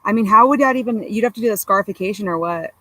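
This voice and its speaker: A woman in her late twenties with a low, masculine-sounding voice, chatting casually as a guest in a frank, self-deprecating way. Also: racing, bright, forceful tone, no echo. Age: late 20s. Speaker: interview guest. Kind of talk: casual candid chat.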